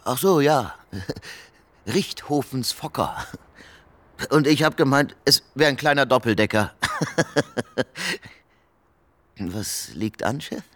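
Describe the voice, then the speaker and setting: An actor playing a talkative, sly homeless beggar in a radio crime drama, speaking colloquially and theatrically. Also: male voice, medium pitch, expressive, slow pace, pauses often, fairly bright tone, no room sound. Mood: cheeky banter.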